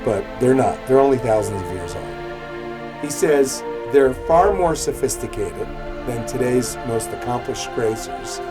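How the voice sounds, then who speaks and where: A man with a medium-pitched voice explains things as a presenter. His delivery is declarative and emphatic, and music plays clearly behind him. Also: lively intonation, measured pace. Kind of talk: explanatory talk.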